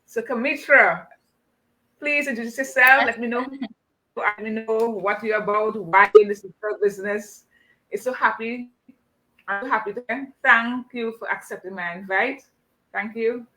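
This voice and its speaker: Female live-chat host with a Trinidadian accent. Crisp, bright tone, effusive casual delivery. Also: a little high, unhurried, poor audio.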